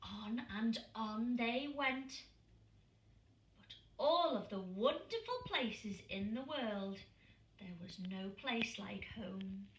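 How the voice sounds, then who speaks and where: A woman primary school teacher reading a picture book aloud to young children, expressive and asking them questions. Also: mid-range voice, slow. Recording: echoey room, distant mic.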